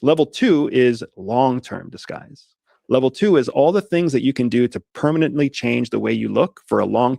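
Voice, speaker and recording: A man's voice: medium pitch, vocal fry, darkish tone, dry recording, studio quality. A man on an interview show, explaining a subject methodically and laying it out point by point. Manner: methodical, lays points out step by step. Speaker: guest, disguise expert. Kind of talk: casual podcast interview.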